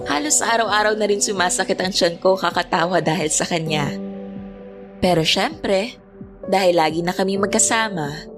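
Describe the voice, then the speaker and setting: A woman's voice: medium pitch, normal speed, music in the background, natural voice. A woman with a Filipino accent acting a reflective young woman who narrates her own story in an audio drama. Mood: reflective, fond.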